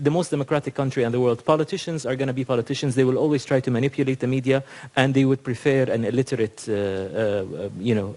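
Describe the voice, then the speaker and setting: Fast-talking man, emphatic and argumentative. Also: mid-range voice, warm tone. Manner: emphatic, argumentative, many fillers. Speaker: panel speaker. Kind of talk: impassioned panel remarks. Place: conference hall.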